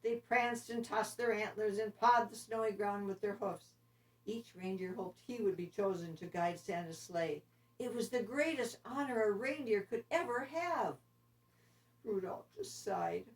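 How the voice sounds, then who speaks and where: A woman narrating a children's story expressively, with deliberate, dramatic phrasing. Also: mid-range voice, expressive. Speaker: storybook reader. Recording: distant microphone.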